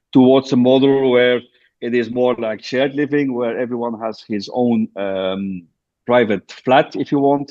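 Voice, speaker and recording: A man from Belgium, a guest on a podcast, explains his work slowly with many hesitations and restarts. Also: mid-range voice, a little mellow. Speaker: guest, co-living founder. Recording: dull lo-fi remote audio.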